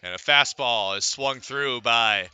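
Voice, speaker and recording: An American man calling baseball live as a radio play-by-play announcer, reciting statistics between pitch calls. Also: unhurried, bright, forceful tone, clear, resonant tone. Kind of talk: live sports commentary.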